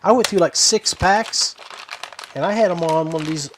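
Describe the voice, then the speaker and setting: A man's voice: fairly high pitch, normal speed, slight background noise. A man hosting a podcast in casual chat, with a folksy way of addressing his listeners. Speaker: podcast host. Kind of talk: casual chat about fishing gear.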